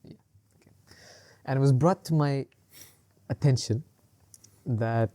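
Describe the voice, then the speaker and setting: Male podcast co-host, mid-pitched and mellow, loose rambling chat full of fillers and laughs. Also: a little slow. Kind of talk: casual chat.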